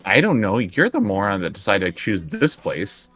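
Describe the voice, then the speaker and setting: A man's voice: on the low side, huge pitch swings, moderate pace, a bit bright, husky. A man chatting casually as a player in a tabletop roleplaying game.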